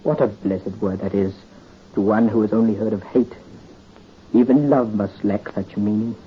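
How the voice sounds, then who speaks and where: A man's voice: on the low side, steady tone, moderate pace, lightly acted. A man playing an earnest young nobleman. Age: young adult. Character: shy young nobleman in love. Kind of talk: 1930s radio drama acting. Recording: muffled 1930s radio recording, faint hiss.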